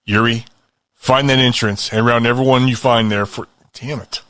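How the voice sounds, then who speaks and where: A man playing a forceful commander giving orders in an audio drama. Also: medium pitch, fairly even intonation, normal speed, heavy background noise, lightly acted. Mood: commanding.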